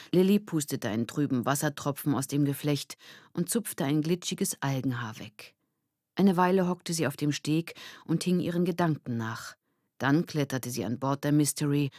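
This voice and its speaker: A woman's voice: low-pitched, a little mellow, no room sound, clean studio sound. A woman reading a children's novel aloud as scripted audiobook narration.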